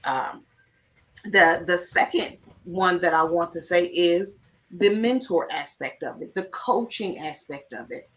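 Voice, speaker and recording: A woman's voice: fairly low pitch, unhurried, a bit bright, recorded from a distance. A woman hosting a live talk show, giving emphatic numbered tips in a teaching tone. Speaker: host. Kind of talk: motivational live-stream talk.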